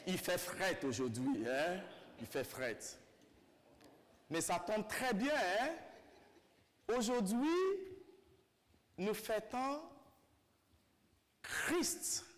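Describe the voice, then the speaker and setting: High-pitched Nigerian priest preaching in reverberant church. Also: male voice, lively intonation, extremely slow, long pauses. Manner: rhetorical questions to listeners, repeats phrases for emphasis. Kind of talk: homily to a congregation. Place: large reverberant church indoors.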